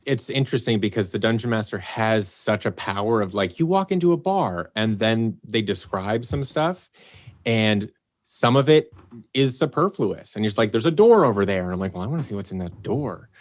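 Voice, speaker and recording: A man with a lowish voice, recorded dry and close. He talks casually as a director explaining his craft, often acting out little examples as he goes. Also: expressive, moderate pace, no echo. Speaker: audio drama director and voice actor. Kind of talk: casual interview talk.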